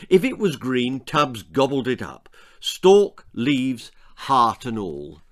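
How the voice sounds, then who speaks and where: A man in his sixties tells a children's tale. His delivery is slow and emphatic, with a lively, swooping pitch. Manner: theatrical, emphatic. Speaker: author reading own story aloud. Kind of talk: dramatic children's storytelling. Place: small book-lined room at home.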